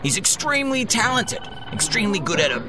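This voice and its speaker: Pushy, wildly animated showbiz agent. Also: male voice, high voice, fairly quick, crowd noise, rough recording. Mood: boastful, pushy. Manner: pushy, emphatic, piling up repeated phrases. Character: fast-talking defensive showbiz agent, salesman-like, a man. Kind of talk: comedic audio drama mock interview.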